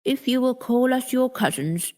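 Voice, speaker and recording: A man's voice: very high voice, lively intonation, moderate pace, darkish tone, voice pushed up. A storyteller voicing a strange, coaxing spinster woman in a children's fairy tale. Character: strange, bargaining spinster women with odd features.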